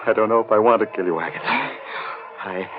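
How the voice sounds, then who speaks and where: An actor playing a jealous, unhinged sculptor turned murderer in a theatrical, halting 1940s radio horror style. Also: male voice, lowish voice, lightly acted. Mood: brooding, crazed. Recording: muffled old radio recording with music.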